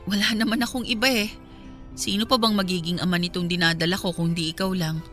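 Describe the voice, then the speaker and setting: A woman's voice: on the low side, fairly even intonation, quick pace, a bit bright, soft background music, natural voice. A woman playing a long-suffering pregnant wife and mother, firm and reproachful, in a radio drama. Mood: firm, hurt.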